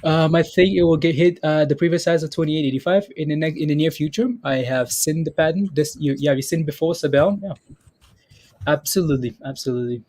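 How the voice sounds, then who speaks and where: A man's voice: fairly high pitch, brisk, darkish tone. A man hosting a live stock trading stream, chatting casually about price levels and reading viewer names aloud. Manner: chatty, repeats phrases, reads chat names aloud, laughs often. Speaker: stock trading livestream host. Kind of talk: casual live market commentary.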